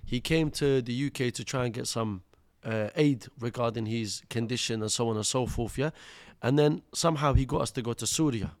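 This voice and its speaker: A man's voice: medium pitch, brisk, hardly any pauses. A man in his thirties, born in Iraq, telling his life story as a podcast guest in street slang, calling the host "bro" and "akhi". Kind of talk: casual storytelling interview.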